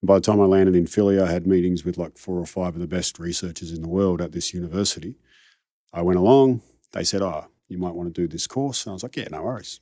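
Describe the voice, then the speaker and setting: A man's voice: low voice, dark tone, dry recording, studio quality. A middle-aged Australian man, a coach telling his career story in a casual interview, rambling and self-deprecating. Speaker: high-performance coach, guest.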